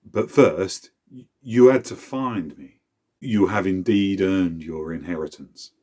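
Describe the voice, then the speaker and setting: A man voicing the spirit of an old male wood elf, a craftsman father, speaking deep and grave. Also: takes it slowly, dark tone. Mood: solemn, tender.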